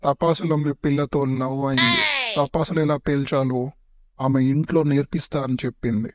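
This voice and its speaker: A man voicing a cunning adult prince who answers back with cheeky deadpan in a parody cartoon. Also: mid-range voice, fairly quick, a crowd in the background, lightly acted. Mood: sly. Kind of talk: Telugu parody cartoon dubbing.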